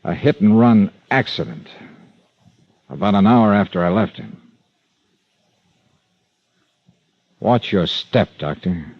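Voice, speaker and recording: A man's voice: fairly low pitch, a little slow, no room sound. A man acting in a 1950s radio drama, playing a hard-boiled, wary insurance investigator with clipped, emphatic, tough talk. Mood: grim. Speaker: star of a radio detective serial. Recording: dull vintage radio recording.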